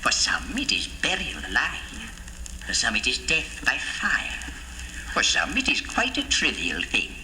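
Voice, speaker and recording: A menacing interrogator, wildly swooping delivery. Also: male voice, mid-range voice, wildly swooping pitch, bright, forceful tone, full character voice. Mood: sinister, measured. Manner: deliberate, theatrical, patronizing. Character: menacing male party interrogator and torturer, coldly instructive. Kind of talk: old-time radio drama acting. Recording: noisy old radio drama recording.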